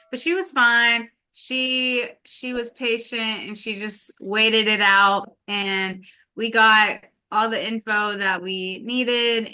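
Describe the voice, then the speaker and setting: A woman who stutters speaks slowly as a guest in a casual interview, repeating first sounds and filling pauses with ums. Her voice is bright and smooth. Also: medium pitch. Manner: stutters with repetitions and blocks; many filler ums. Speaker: podcast guest.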